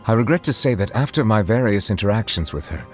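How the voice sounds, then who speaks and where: An actor playing a solemn, dignified chief justice in a fantasy video game, speaking formally and with measured eloquence. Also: male voice, fairly low pitch, expressive, faint music behind, close to an everyday voice. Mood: regretful. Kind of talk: scripted video game character voice lines.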